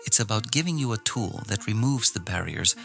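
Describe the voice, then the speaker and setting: A man from Iceland teaching an online filmmaking class in a relaxed, gently encouraging way, with some fillers when he talks off the cuff. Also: fairly low pitch, brisk, gravelly, over music. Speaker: film teacher. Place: outdoors in nature. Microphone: phone recording nearby.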